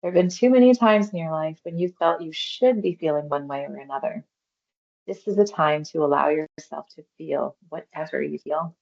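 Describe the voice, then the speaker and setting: Casting: woman, low creaky voice, meditation guide, gentle and reassuring, unhurried phrasing, slightly muffled recording. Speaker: mindfulness exercise guide. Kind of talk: guided meditation, body scan instruction.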